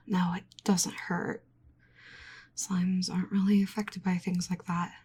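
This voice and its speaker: A woman playing a nerdy, flustered slime-girl researcher in an ASMR roleplay, speaking softly with fussy, rambling, stammering exasperation. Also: fairly low pitch, level tone, takes it slowly, slightly rough, full character voice. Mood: exasperated, pleading.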